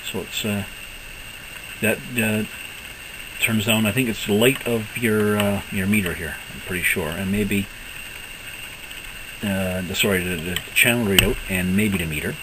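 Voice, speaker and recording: A man with a fairly low voice talks through a piece of gear in a casual, unscripted way. His delivery is halting, as if thinking aloud. There is clear background noise in the recording. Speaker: hobbyist presenting radio equipment. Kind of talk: casual unscripted product walkthrough.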